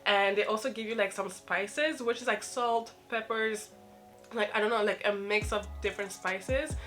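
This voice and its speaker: A woman's voice: mid-range voice, fairly even intonation, moderate pace, fairly bright tone, faint music behind. A young woman from Mali vlogging casually, a little nasal from the flu, rambling with many fillers. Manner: rambling, filler-heavy with lots of "like" and "um". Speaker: YouTuber. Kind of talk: casual personal vlog.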